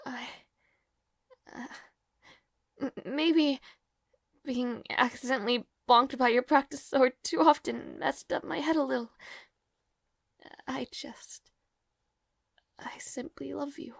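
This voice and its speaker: Woman acting a very high-voiced, fretful young maid. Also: fairly even intonation, dry recording, studio quality, exaggerated voice. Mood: shy, tender. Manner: halting, stammering, breathy pleading delivery. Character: nervous, lovestruck young maid, tearful and self-deprecating. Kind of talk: emotional romantic audio roleplay acting.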